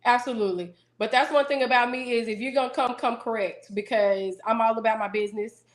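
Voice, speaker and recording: Woman guest, deeper-voiced, steady and businesslike in casual interview talk. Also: fairly quick. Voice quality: deeper singing voice. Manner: self-assured, businesslike, steady. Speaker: singer-songwriter guest.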